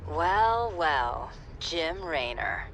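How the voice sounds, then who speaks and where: A woman playing a cold, smug military operative in a video game cutscene, with a cool, deliberate, mocking delivery. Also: deep voice, sing-song, a bit bright, slight background noise, full character voice. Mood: smug, taunting.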